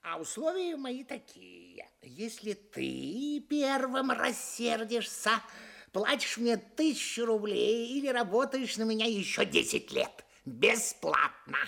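High-pitched, swooping, exasperated miserly farm master. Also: male voice, very animated, slow pace, vocal fry, sharp tone, heavily acted. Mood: sly, calculating. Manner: spluttering, stammering when flustered. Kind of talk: theatrical fairy-tale audio play.

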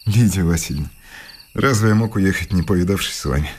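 An actor playing a wistful, self-mocking schoolteacher in a radio play, speaking with hesitant irony and melancholy. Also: male voice, low voice, fast talker, darkish tone, a little hiss or hum, close to an everyday voice. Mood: wistful, probing. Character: wistful, self-mocking city schoolteacher saying farewell before leaving. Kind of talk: Russian radio play acting. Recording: music behind the voice.

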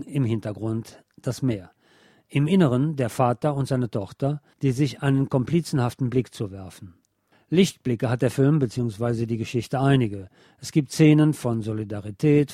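A man presenting a scripted film review as a radio host, in a measured, explanatory way. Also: medium pitch, dark tone, husky, no room sound, clean studio sound.

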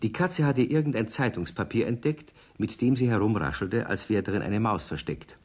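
A man's voice: on the low side, moderate pace, husky. A man narrating a children's radio play as a storyteller, reading from a script. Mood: vivid storytelling. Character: male storyteller of a children's tale.

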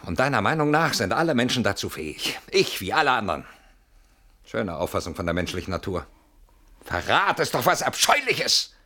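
A deep-voiced man in a radio drama, anxious and brooding. He reasons aloud in an articulate, ironic way, always on the defensive, with a theatrical delivery. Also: very animated, normal speed, sharp tone, full character voice. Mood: indignant. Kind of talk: German radio play acting.

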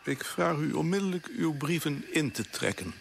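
A man playing a stern, disapproving senior colonial official in a radio play, with a measured, formal, pressing manner. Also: a little high, expressive, gravelly, a little hiss or hum. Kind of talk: Dutch radio play acting.

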